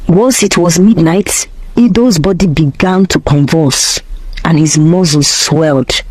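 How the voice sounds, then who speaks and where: A woman's voice: deep voice, measured pace, darkish tone, slightly rough, no echo. A woman narrating a scripted folktale as a storyteller.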